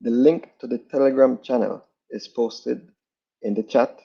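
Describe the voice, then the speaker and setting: A man's voice: mid-range voice, steady tone, a little slow, creaky, slightly rough. A man hosting an online training over a video call, formally making announcements and introducing the team. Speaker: training host. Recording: dull lo-fi video call audio.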